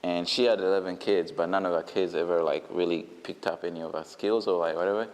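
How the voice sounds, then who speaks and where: A man's voice: low voice, fairly even intonation, a little fast, big-room sound. A man from Uganda, a brand founder, answering interview questions casually with many fillers and restarts.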